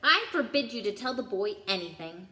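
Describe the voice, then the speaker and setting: Woman as a bossy storybook uncle, sharp commands, panicky, forceful tone, echoey room. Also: medium pitch, big pitch swings, moderate pace. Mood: panicked, commanding. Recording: roomy indoor echo.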